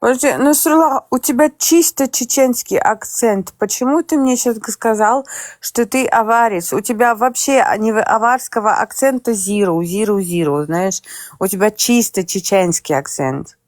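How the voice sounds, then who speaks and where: A woman hosting a live voice chat, talking casually, direct and skeptical, quick to tease and challenge. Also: on the high side, a little mellow, dry recording, clean studio sound. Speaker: stream host.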